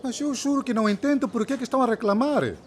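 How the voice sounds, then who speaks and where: An actor playing an earnest, enthusiastic young trainee teacher, polite and insistent, in a radio drama. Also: male voice, very high-pitched, quick pace, a little hiss or hum. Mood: earnest, troubled.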